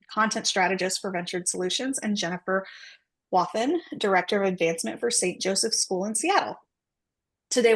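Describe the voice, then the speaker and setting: A woman facilitating a professional webinar, reading introductions and housekeeping notes in a measured, formal way. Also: mid-range voice, a bit bright. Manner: measured, formal announcer delivery. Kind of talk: scripted introduction and prayer.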